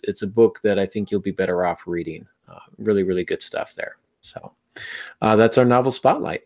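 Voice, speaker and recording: A man hosting a podcast in a casual, conversational way, with wry asides as he talks about writing. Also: low voice. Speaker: podcast host.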